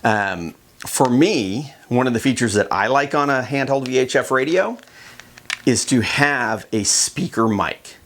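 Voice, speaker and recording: A man's voice: medium pitch, animated, a little slow, a bit bright. A man giving a casual, plain-spoken instructional demonstration in a conversational way. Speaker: kayak fishing instructor.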